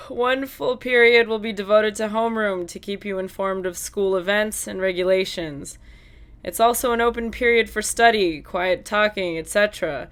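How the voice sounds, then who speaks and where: An actress playing a hungover, middle-aged homeroom teacher in an audiobook, tired and indifferent, with slightly slurred speech. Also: female voice, mid-range voice, natural voice. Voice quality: mildly slurred. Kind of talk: acted audiobook dialogue.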